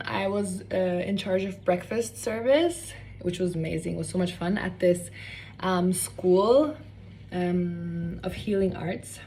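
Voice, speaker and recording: A young woman from Norway, chatting casually and rambling off on tangents while she cooks in her home kitchen. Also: medium pitch. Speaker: cooking vlogger. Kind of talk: casual cooking vlog chat. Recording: slightly distant mic with faint room noise.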